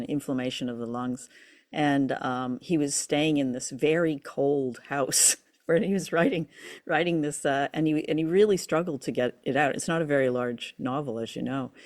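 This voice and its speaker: A woman's voice: very deep voice, vocal fry, darkish tone. A woman co-hosting a radio show and podcast, talking in a casual, conversational way.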